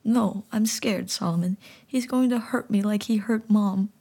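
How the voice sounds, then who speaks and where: A woman's voice: medium pitch, unhurried, creaky, dark tone, slightly rough. A woman voicing a frightened little boy who pleads through tears. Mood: scared pleading. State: tearful.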